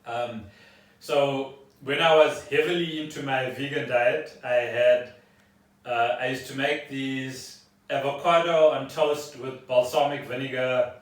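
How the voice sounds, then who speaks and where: The room rings heavily around this man, who sits some way from the mic. He has a South African accent and a mid-pitched voice. He chats casually, as a co-host at home. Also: steady tone, measured pace. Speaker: podcast co-host. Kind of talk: casual chat. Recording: echoey room, far from the mic.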